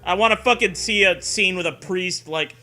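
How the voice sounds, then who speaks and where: A man's voice: high voice, normal speed, bright, forceful tone, soft background music. A man giving casual comedic commentary in a sarcastic, profane way. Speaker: co-host and commentator.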